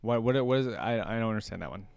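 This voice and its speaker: A man's voice: lowish voice, animated, racing, gravelly, darkish tone, clear, resonant tone. A man giving casual reaction commentary as host, easygoing and thinking aloud as he goes. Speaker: host of a fan reaction video.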